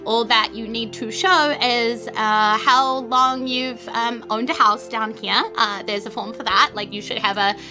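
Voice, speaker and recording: A woman's voice: fairly high pitch, fairly even intonation, unhurried, hardly any pauses, music in the background, lightly acted. A woman voicing a young, friendly Triton girl working as a chatty library clerk in a tabletop game, with rambling, helpful customer-service patter. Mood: helpful, officious.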